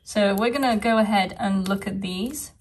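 A woman vlogger talking casually through an unboxing, her voice a bit croaky from a sore throat, soft-spoken and chatty. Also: mid-range voice, moderate pace, far from the mic, slight background noise. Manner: soft-spoken, chatty, frequent small laughs.

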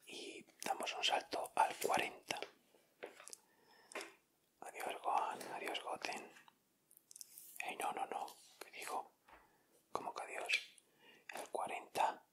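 A man with an accent from Spain, speaking in a breathy, unvoiced whisper as he gives casual ASMR commentary. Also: takes it slowly, long pauses. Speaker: ASMR video host. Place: indoors at a table.

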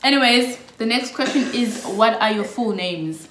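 A woman's voice: a little high, moderate pace, a bit bright, echoey room, slight background noise, rough recording. A young South African woman in her twenties, casually chatting and giggling through a get-to-know-us video. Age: early 20s. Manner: giggly, chatty, laughs often. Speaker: YouTube vlogger. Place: small indoor room.

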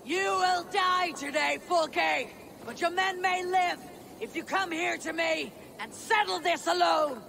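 A woman voicing a fierce, vengeful Viking warrior leader in a video game, with a gravelly voice and solemn, declamatory delivery. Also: a little slow, fairly bright tone, a little hiss or hum, heavily acted. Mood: defiant. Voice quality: gravelly, low-toned delivery. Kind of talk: dramatic video game acting.

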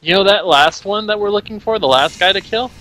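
A man's voice: high voice, brisk, bright, forceful tone, low-quality recording. A man chatting casually as he plays a co-op video game with a partner. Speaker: gamer on a co-op let's play. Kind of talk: casual gaming chat. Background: game music.

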